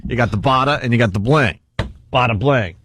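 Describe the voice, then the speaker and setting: A man's voice: medium pitch, brisk, a bit bright, slight background noise. A man hosting a radio talk show, chatting casually with informal, irreverent comedic banter into a close studio mic.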